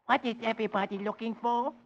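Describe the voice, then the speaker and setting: A man voicing an innocent toddler boy from a comic strip in a soft, childlike way on a dull old radio broadcast. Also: very high voice, fairly even intonation, fast talker, creaky, music in the background, pitched above the performer's own voice.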